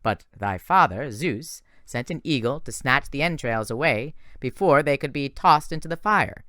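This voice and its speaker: A grave huntress with formal, old-fashioned speech, as a man voices her in a storybook. She speaks a little higher and slower than the narrator, with a lively, bright tone. The recording is dry and close. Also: no room sound. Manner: formal archaic wording, grave. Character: solemn ancient young huntress, archaic speech.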